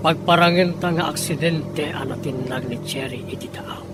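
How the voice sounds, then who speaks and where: Actor doing a scheming boss in a radio-style drama, medium pitch, plotting. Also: normal speed, noisy background, lightly acted. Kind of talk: scripted Ilocano audio drama dialogue.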